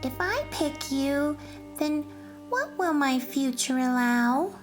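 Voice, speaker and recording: A woman puts on an earnest, childlike storybook questioner with a high voice. The words come slowly in a singsong, questioning way. Music is clearly heard behind the voice. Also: expressive.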